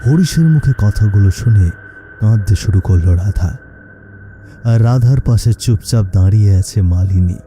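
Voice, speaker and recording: A man narrating a ghost cartoon story in an even storytelling manner. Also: fairly low pitch, normal speed, soft background music.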